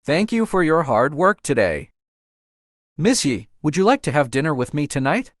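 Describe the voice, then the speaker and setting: A man playing a gentle, teasing young coffee shop owner in a romance comic dub. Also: fairly high pitch, sing-song, normal speed, no echo, clean studio sound.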